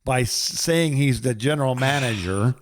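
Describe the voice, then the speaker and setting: An older man co-hosting a podcast, talking casually and haltingly, with an amused storytelling manner. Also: mid-range voice. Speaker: podcast co-host. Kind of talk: casual phone chat.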